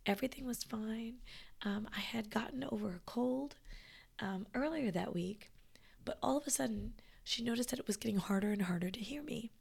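A woman podcast host with a hoarse, raspy voice she has partly lost, talking in a halting half-whisper in a casual, reflective monologue. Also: on the high side, darkish tone. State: hoarse, losing her voice.

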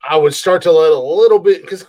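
A man with a big, loud voice talks in a loose, rambling way, stumbling over repeated words and breaking into laughs. He sounds like a podcast co-host in his thirties, recorded very close and dry with no room sound at all. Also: fairly high pitch, brisk, no room sound. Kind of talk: casual podcast chat.

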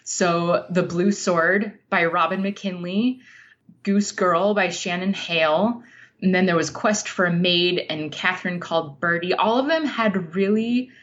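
An American woman from Utah speaking as an interview guest in a reflective, thoughtful way, often halting to search for words. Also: lowish voice, fairly bright tone, dull audio. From Utah, United States. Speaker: podcast guest, nonfiction writer.

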